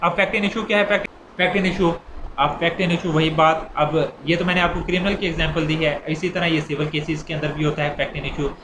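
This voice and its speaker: A man's voice: fairly high pitch, steady tone, a little fast. Echoey recording of a Pakistani male lecturer. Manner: repetitive, step-by-step explaining. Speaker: law lecturer. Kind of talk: informal lecture, explaining with examples. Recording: echoey room with clear background noise.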